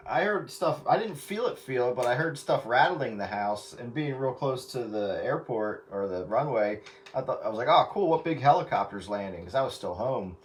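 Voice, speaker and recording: A man's voice: mid-range voice, lively intonation, normal speed. An American man talks over the radio in a loose, wry way. He sounds set well back from the mic, with heavy noise all around the signal. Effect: radio transmission. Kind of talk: casual CB radio chat. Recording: distant, very noisy radio signal.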